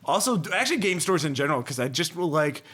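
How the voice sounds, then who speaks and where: A man's voice: fairly high pitch, sing-song, fast, a bit bright, breathy edge. A man hosting a podcast in casual chat, rambling along in a jokey way. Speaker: podcast host.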